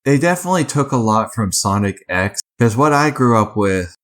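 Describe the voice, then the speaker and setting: A man's voice: mid-range voice, animated, a bit bright. A man giving casual, off-the-cuff review commentary as a video host, rambling and often correcting himself mid-sentence. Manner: rambling, self-correcting, halting. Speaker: host of a movie ranking video.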